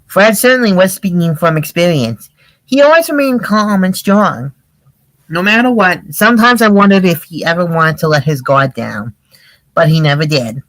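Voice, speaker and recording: A storybook reader narrating in an amateur read-aloud style, with halting casual asides. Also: medium pitch, expressive, a bit bright, no echo, rough recording. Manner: halting, repeats phrases when searching.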